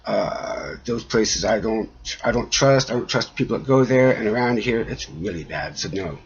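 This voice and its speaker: A man speaking in a halting, aggrieved way, rambling through a personal complaint with a husky voice. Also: on the low side. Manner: halting, frequent trailing pauses, aggrieved. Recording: dull lo-fi audio.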